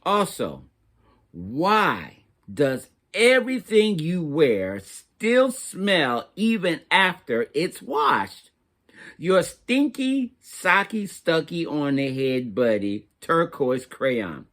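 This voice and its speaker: A man's voice: on the high side, big pitch swings, very slow, a bit bright, exaggerated voice. A man voicing a bewildered, plaintive little crayon in a children's storybook. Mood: puzzled, plaintive. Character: bewildered turquoise crayon stuck to a smelly sock.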